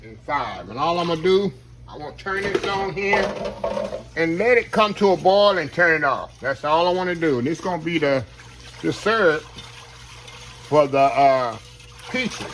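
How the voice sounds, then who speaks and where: A man, chatting to viewers as he cooks in his home kitchen, his voice slightly hoarse. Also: a little high, expressive, moderate pace, noisy background. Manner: chatty, keeps addressing viewers as y'all. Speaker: home cook. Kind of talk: casual cooking demonstration.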